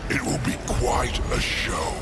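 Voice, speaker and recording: An actor playing a menacing, scornful supernatural villain in a video game, with a gravelly voice and a theatrical, taunting manner. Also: male voice, medium pitch, measured pace, over loud music, lightly acted. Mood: mocking menace. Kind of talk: dramatic video game voice acting.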